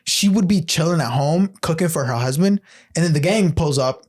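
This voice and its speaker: A young American man co-hosts a podcast in loose, animated conversation, leaning on fillers and swearing as he tells stories. His voice sits a bit higher than most men's. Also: normal speed, breathy edge. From United States. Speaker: podcast co-host. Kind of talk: casual podcast chat.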